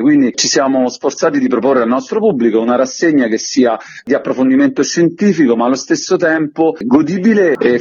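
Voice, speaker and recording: Husky man formally presenting a programme. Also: mid-range voice, darkish tone, highs cut off. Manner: wordy, formal, promotional. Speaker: festival curator being interviewed.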